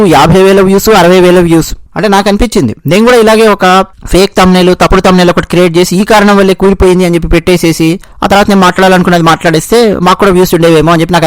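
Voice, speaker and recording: Telugu guy w a high voice doing news explainer commentary, teachy and repeats his points, really dry sound. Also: no room sound. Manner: didactic, repeats and spells out points. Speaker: host of an explainer video channel.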